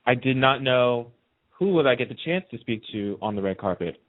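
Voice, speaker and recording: A man's voice: medium pitch, expressive, normal speed, narrow, tinny audio. A man chatting casually as a radio guest, telling stories and repeating phrases for emphasis. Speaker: radio host being interviewed. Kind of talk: casual chat, storytelling.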